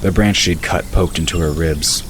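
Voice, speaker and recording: Very deep-voiced male narrator. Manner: measured, even storytelling. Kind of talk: scripted audiobook narration. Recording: hissy recording with bird ambience.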